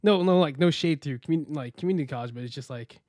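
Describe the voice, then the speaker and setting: A man's voice: fairly high pitch, quick pace, no echo. Young man, podcast guest, rambling, casual, dry close sound. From United States. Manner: relaxed, rambling, many fillers and restarts. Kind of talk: casual interview chat.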